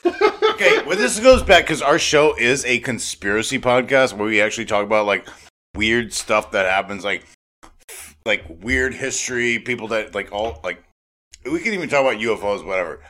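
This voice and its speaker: Bright, forceful male podcast host. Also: mid-range voice, moderate pace. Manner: excitable, blunt, slangy, repeats himself. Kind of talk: casual enthusiastic chat.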